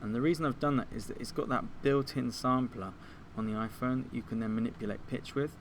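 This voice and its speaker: A man giving an informal software tutorial, explaining things step by step like a teacher. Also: mid-range voice, fairly even intonation, a little fast, very noisy. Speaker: music technology teacher.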